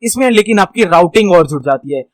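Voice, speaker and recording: A man with an Indian accent and a notably high voice teaches in an informal tutorial style. He explains step by step, mixes in English technical terms and keeps checking that the listener follows. The recording is dry and close, with very little room sound. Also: animated, fast talker, dry recording. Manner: explanatory, repeatedly checking understanding with tag phrases. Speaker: instructor, tech tutorial host.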